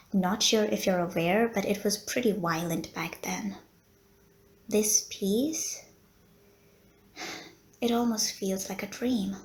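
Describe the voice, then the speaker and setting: Unhurried, courteous lady vampire nurse, acted by a woman. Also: mid-range voice, vocal fry, a little mellow. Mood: soothing, courteous. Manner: genteel, formal wording with coy hesitations. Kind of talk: roleplay audio acting, addressed to the listener.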